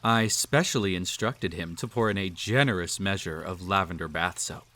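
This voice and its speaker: A man's voice: deep voice, moderate pace, pushed and bright. A man playing a cruel, possessive emperor in a roleplay audio drama, speaking in a formal, pompous, teasing and condescending way. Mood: indulgent, condescending. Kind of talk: scripted roleplay audio drama, intimate address to listener.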